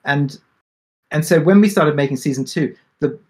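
A man's voice: fairly high pitch, normal speed, a little mellow. A man answering casually and reflectively as a drama creator on a panel, hesitant and thinking aloud.

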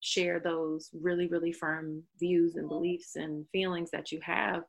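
A woman in casual conversation, animated and playful, talking in quick bursts. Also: fairly low pitch, creaky.

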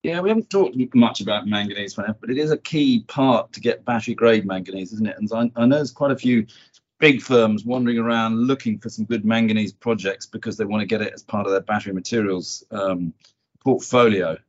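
A man's voice: lowish voice, a little fast, breathy edge, highs cut off. Man hosting a casual market chat. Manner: conversational, steering with tag questions. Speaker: host.

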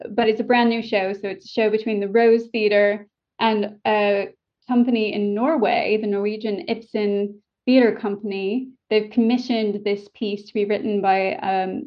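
American woman with a little english creeping into her accent, dialect coach, chatty and thoughtful, kinda lo-fi sound. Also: mid-range voice. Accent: American with a slight English tinge. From United States. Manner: articulate, reflective, enthusiastic. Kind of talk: interview over a call.

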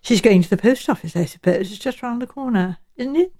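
A woman's voice: fairly high pitch, lively intonation, fairly quick, mellow. A woman voicing an elderly English lady sleuth in an audiobook, fluttery and polite, speaking in a hesitant, genteel, musing way. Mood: musing, uncertain. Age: older.

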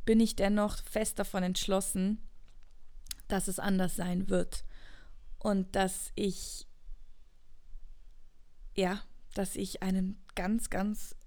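A woman's voice: mid-range voice, level tone, takes it slowly, long pauses, a little mellow. A woman in her late twenties speaking as a podcast host in an earnest, introspective, unscripted personal monologue, often repeating words for emphasis. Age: late 20s, turning 30.

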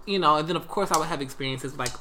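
Casting note: man, higher-pitched bright voice, candid and expressive, quick to laugh. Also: slight background noise, rough recording. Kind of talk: candid casual chat.

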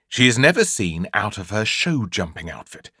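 A man's voice: lowish voice, sing-song, moderate pace, bright, forceful tone, husky, dry recording, studio quality. A man with an English accent narrating a comic children's story in a theatrical, emphatic way, drawing out key words. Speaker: audiobook narrator.

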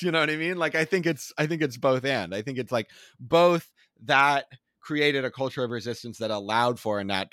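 A man's voice: mid-range voice, a bit bright, no room sound, studio quality. A man co-hosting a casual discussion who searches for words and often repeats himself.

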